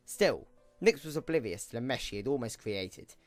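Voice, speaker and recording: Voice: mid-range voice, lively intonation, normal speed, dry recording, faint music behind. A narrator reading scripted third-person story narration for an amateur audio drama.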